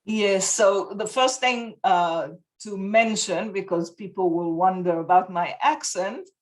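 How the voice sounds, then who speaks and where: Israeli woman speaking slowly and thoughtfully. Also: mid-range voice. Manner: thoughtful, searches for words, laughs easily. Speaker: guest. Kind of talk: casual interview. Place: home room with bookshelves. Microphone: video call laptop mic.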